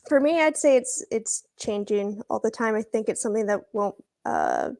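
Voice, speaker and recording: A woman's voice: a little high, a little mellow. A young woman speaking as a guest in a casual podcast conversation, thoughtful and laughing often. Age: young adult. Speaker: guest, former international school student.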